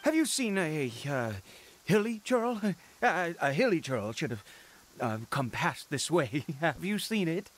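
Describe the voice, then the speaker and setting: An actor playing an anguished, fanatical father in a video game, speaking in a halting, dramatic way and repeating words with frantic urgency. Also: fairly high pitch, sing-song, slight background noise. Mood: tense, menacing. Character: anguished fanatical father searching desperately for his son.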